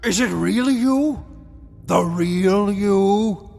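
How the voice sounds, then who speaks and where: An actor playing a rambling, theatrical villain admiral in an audio drama, grandiose with hesitant, dithering asides. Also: male voice, high-pitched, takes it slowly, gravelly, darkish tone, heavily acted. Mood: theatrical, wary. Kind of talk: audio drama acting in old-fashioned adventure style. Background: music.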